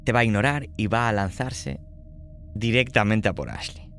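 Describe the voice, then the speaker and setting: A man running a tabletop horror game as game master, laying out options in a measured way and checking in often. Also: fairly low pitch, expressive, a bit bright, soft background music.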